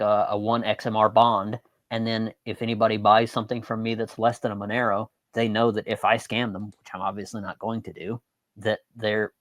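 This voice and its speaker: A man's voice: fairly low pitch, dry recording. Matter-of-fact man in a dry, close recording. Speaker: guest on a show. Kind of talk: casual interview talk.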